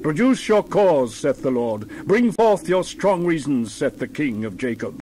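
A man's voice: mid-range voice, a little mellow, a little hiss or hum. A man giving a dramatized Bible reading as narrator, solemn and declamatory with dramatic emphasis.

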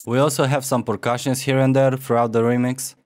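A young man with a Romanian accent walks through the making of a song remix, relaxed and a little self-mocking. He talks into a studio mic in a small home studio, with faint music underneath. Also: medium pitch, fairly quick. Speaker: music producer. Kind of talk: casual tutorial vlog. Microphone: studio mic on stand.

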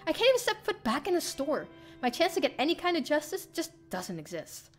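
A young woman streamer reads a visual novel aloud. Her voice sits a little high as she delivers dramatic first-person narration, with soft game music behind her. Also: very animated, brisk. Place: indoor streaming room. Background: faint game music.

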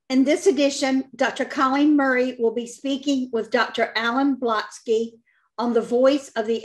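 An older woman introducing a programme to camera in an earnest, scripted manner. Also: a little high. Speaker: host, organisation founder.

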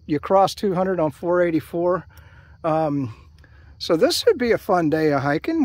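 Older man, American accent, high voice, halting rambling delivery, casual hiking vlog, dry outdoor sound. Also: no room sound. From United States. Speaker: hiking vlogger. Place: outdoors at a trailhead by open fields. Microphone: handheld camera held by speaker.

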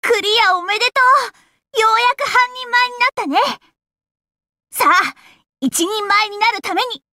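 Casting: friendly young girl adventurer for an anime game, very high-pitched, upbeat and frank, dry close studio sound. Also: a little slow, long pauses, pushed and bright, no echo, full character voice. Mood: warm, encouraging. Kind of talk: Japanese anime game voice acting. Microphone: close, dry studio recording.

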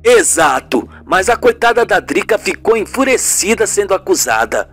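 A man's voice: high voice, sing-song, normal speed, a bit bright, slightly rough, faint music behind, heavily acted. A man voicing a puzzled, reasonable husband in a radio serial, colloquial and questioning.

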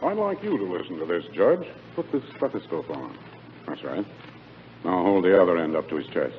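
An actor playing a brisk, matter-of-fact male doctor giving firm instructions in 1940s radio comedy. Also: low voice, very animated, narrow, tinny audio. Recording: thin, noisy 1940s radio recording.

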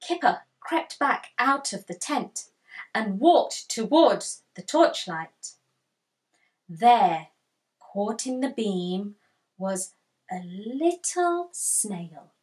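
Slow, expressive woman storyteller for children. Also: medium pitch. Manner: expressive, deliberate, asks listeners questions. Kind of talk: read-aloud picture book narration. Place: small furnished room indoors with bookshelves.

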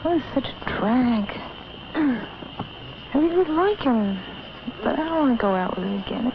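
A woman's voice: a little high, animated, moderate pace. A young woman voicing the anxious inner monologue of a teenage girl on a date, in nervous half-whispers. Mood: worried. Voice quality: hushed, half-whispered thoughts. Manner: nervous, self-doubting, rambling. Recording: dull old film soundtrack with hiss.

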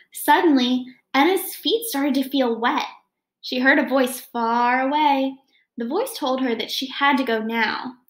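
Young female storyteller, high voice, reading to kids in an echoey home room. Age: young adult. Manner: addresses young listeners with questions and comments. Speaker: storyteller reading aloud to children. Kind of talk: children's picture book read-aloud with asides. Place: small home room, indoors.

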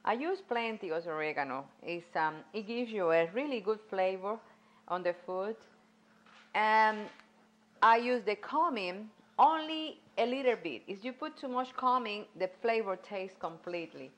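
A middle-aged woman with a Cuban accent, a guest cook demonstrating a recipe in a home kitchen, speaking haltingly with many pauses. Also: medium pitch, expressive, fairly bright tone. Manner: halting, many ums, non-native phrasing. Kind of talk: cooking demonstration.

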